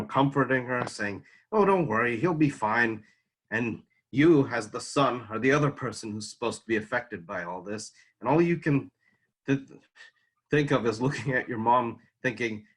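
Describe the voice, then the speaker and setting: A man performing a dramatic monologue as a bitter, anguished grown son, intense, halting and pleading. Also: mid-range voice, a bit bright, voice pushed up, natural voice.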